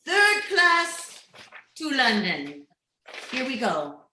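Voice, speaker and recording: A woman's voice: sits high, huge pitch swings, very slow, sharp tone, slight background noise, exaggerated voice. A woman playing a formal continental booking clerk in an old-time radio drama. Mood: businesslike. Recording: roomy home audio, music behind.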